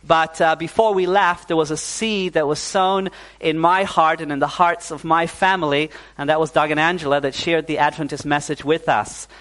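A young male preacher from New Zealand giving a sermon to his congregation. His voice sits a little higher than most men's. He talks warmly, drops in "uh" often and asks the room for an "amen". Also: fairly even intonation, moderate pace. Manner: warm, fillers like "uh", calls for "amen". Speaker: pastor.

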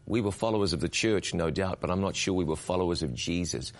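A middle-aged man with a very deep voice. He tells his story as an interview guest, earnest and reflective. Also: fast talker. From New Zealand. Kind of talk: interview conversation.

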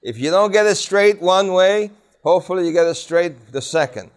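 A man's voice: sits high, lively intonation, normal speed, smooth. A man teaching a Bible class, conversational and emphatic, often asking the students questions. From New York City.

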